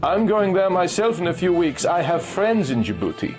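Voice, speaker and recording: A man's voice: sits high, very animated, normal speed, music in the background, voice pushed up. A man voicing a cool, scheming middle-aged Austrian geologist in a pulp adventure story, speaking with casual persuasion. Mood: persuasive.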